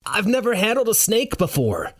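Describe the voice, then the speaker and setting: A man's voice: sits high, huge pitch swings, moderate pace, pitched above the performer's own voice, full character voice. A man voicing a flustered storyteller frozen in panic, blurting exasperated dialogue in an audiobook. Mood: panicked.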